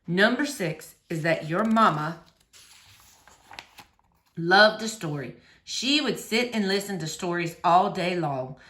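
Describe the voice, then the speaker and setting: A woman voicing a quiet, melancholy middle-aged preacher and father in a storybook read aloud, speaking slowly and gently subdued. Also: on the low side, expressive, roomy sound, voice pushed down. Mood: fond, reminiscing. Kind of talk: children's novel read aloud.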